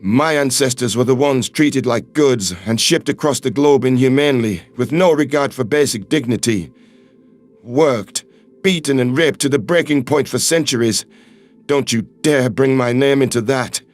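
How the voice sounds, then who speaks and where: A man voicing a calm, earnest human man who speaks in firm, measured, reassuring tones. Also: medium pitch, pitched below the performer's own voice, natural voice. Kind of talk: audiobook character voice.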